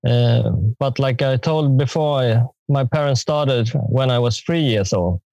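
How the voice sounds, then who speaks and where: Slow-spoken halting male guest. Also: medium pitch, dark tone, no room sound, highs cut off. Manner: slow, halting, with many pauses. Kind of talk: podcast interview.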